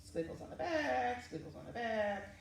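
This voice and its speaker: A woman speaks to young children in an informal, encouraging way, explaining things step by step. Her intonation swings widely and keeps things animated. She is recorded some way from the microphone in an echoey room, with a faint layer of noise. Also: on the high side, moderate pace, gravelly. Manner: encouraging, informal, talking through each step. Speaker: children's librarian hosting story time and craft. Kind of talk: casual instructional talk to young children. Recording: roomy, distant mic with faint noise.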